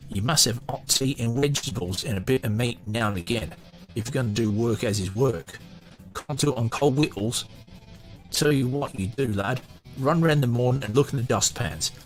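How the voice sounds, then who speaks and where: A man voicing an energetic, cheerful ageing working-class English vagrant in an audiobook, speaking in folksy colloquial phrases. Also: mid-range voice, moderate pace, soft background music, pitched above the performer's own voice, natural voice. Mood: cheery, advising. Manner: folksy, colloquial advice-giving with dropped grammar. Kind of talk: audiobook character voice.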